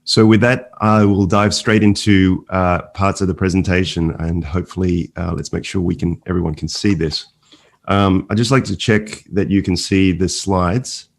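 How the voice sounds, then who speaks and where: Deep-voiced Australian-accented man presenting. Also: steady tone, moderate pace, gravelly. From Malaysia, later Australia. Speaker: investment fund founder and guest speaker. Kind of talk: conference presentation with slides. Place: remote video call from New York.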